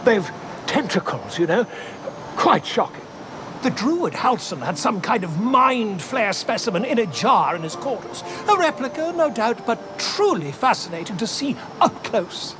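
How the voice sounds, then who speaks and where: Florid fantasy-game scholar, pompous and excitable. High, swooping delivery over clear outdoor noise. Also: male voice, measured pace. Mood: smug, lecturing. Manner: theatrical, florid, self-important. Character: pompous, excitable male self-styled scholar of monsters. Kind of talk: fantasy video game acting.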